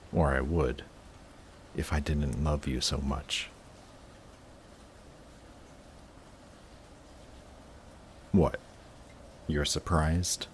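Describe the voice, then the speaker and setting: Man acting a very deep, slow-spoken lovestruck villain. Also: a little hiss or hum. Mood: tender confession. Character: lovestruck male villain, guarded, flustered, confessing love to rival. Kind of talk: intimate audio roleplay acting.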